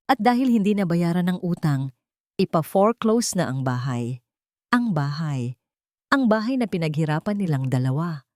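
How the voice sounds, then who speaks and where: Female narrator, Filipino accent, lower register, scripted drama storytelling, dry recording. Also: darkish tone, no room sound.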